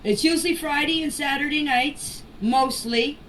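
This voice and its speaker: A mature woman giving an informal spoken statement in a deliberate, halting way, in short phrases, as a voice message. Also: sits high, a bit bright. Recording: distant noisy amateur voice clips.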